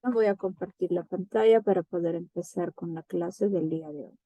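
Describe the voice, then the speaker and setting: A woman's voice: on the low side, normal speed, mellow, no echo, dull audio. A woman from Peru teaching an online class, talking in a loose, casual way and tagging "¿no?" onto her sentences. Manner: casual, repeats "¿no?" often. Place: home, remote video class. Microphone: computer mic on video call.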